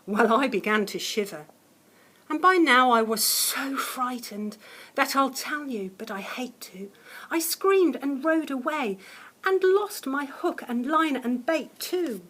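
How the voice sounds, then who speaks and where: A woman reads a picture book aloud to children in a high voice that swoops expressively from line to line. She takes her time, with slow, dramatic phrasing that moves between hushed suspense and stronger emphasis. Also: animated, poor audio. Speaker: primary school teacher reading a story to children.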